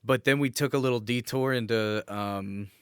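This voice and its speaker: Male podcast co-host, clear smooth voice, casual crude joker, close dry sound. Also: mid-range voice, moderate pace, fairly bright tone, no echo. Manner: joking, crude humour. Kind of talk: casual comedic banter.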